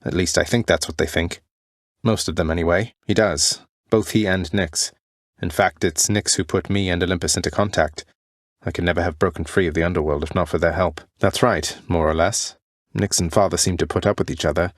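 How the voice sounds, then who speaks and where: A young prince of the underworld in a dramatic video game. His voice sits fairly low and is recorded dry and close. He is earnest and defiant, with formal, slightly archaic phrasing that turns halting when feelings rise. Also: fairly even intonation, dry recording. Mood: explaining, earnest. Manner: earnest, formal old-fashioned wording, halting when emotional. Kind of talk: video game character dialogue, dramatic acting.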